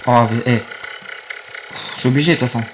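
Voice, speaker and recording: A man's voice: medium pitch, steady tone, a little fast, background noise. A young man, rambling casually to viewers while playing a game on his phone. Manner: rambling, easily annoyed, talks to viewers. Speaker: gaming video creator. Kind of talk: casual gameplay commentary.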